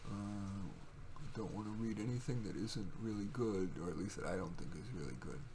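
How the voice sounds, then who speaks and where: A man's voice: lowish voice, brisk, warm tone, a little hiss or hum. A man reading his own poetry, theatrical and emphatic in the verse, with casual asides in between. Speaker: poet reading own work.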